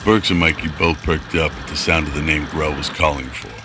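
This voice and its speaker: Very deep male radio drama narrator. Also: fairly even intonation, a little fast, over music.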